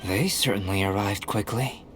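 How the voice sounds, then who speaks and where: An actor playing a calm young alchemist in a fantasy video game, explaining things in a measured, formal, articulate and analytical way. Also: male voice, fairly low pitch, loud music behind the voice, lightly acted. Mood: composed, scholarly. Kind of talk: video game voice acting, scripted dialogue.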